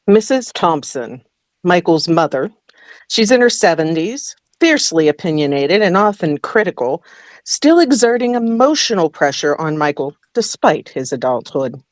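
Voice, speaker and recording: A woman's voice: fairly low pitch, sing-song, moderate pace, no echo. A woman reading a scripted character introduction for an audio play.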